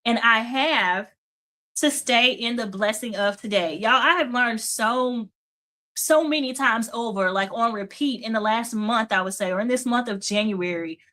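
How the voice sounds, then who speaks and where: Bright-voiced woman hosting a live stream. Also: on the high side, unhurried. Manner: chatty and encouraging, greets viewers by name, says y'all. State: strained voice, nearly losing it. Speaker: host of a live faith and business show. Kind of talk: casual live-stream chat with viewers.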